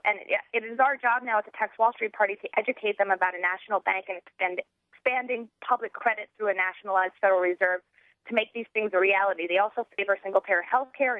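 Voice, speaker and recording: A young American woman giving earnest political talk as an activist guest, halting and restarting as she goes. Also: mid-range voice, dry recording. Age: young adult. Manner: rambling, halting with false starts. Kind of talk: earnest political talk, partly reading out a platform. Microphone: telephone. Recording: narrow tinny phone line.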